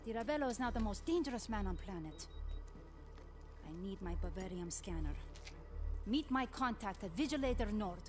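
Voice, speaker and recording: Actress as a deadpan woman scientist, animated pitch. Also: normal speed, pauses often, over music, natural voice. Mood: dry, matter-of-fact. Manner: deadpan, terse. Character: eccentric deadpan woman scientist, blunt and clipped. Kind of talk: video game cutscene acting.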